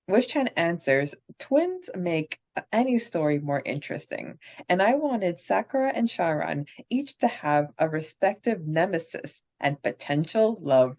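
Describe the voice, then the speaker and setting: A woman's voice: lowish voice, darkish tone, muffled recording. A woman co-hosting a fan podcast, chatty and enthusiastic with lots of "like," reading questions and closing the episode. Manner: chatty, enthusiastic, filler-heavy. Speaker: podcast co-host. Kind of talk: casual fan podcast chat.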